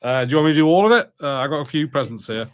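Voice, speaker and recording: A man co-hosting a podcast, chatting casually in a halting, hesitant way. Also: on the high side, animated, clean tone. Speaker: podcast co-host. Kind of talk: casual chat.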